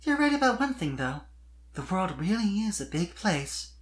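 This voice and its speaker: A performer voicing a sweet, shy but earnest young female pony in a fan fiction reading, soft-spoken and tentative. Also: mid-range voice, big pitch swings, moderate pace, pitched above the performer's own voice, lightly acted. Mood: tender, assured.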